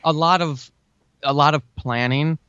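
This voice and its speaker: A man's voice: fairly high pitch, fairly even intonation, unhurried, sharp tone, clear, resonant tone, no echo. A man in his forties talking casually and earnestly as an interview guest, with an enthusiastic manner. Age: 40s. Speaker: guest, fiction and audio drama writer. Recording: dull, lo-fi band-limited audio.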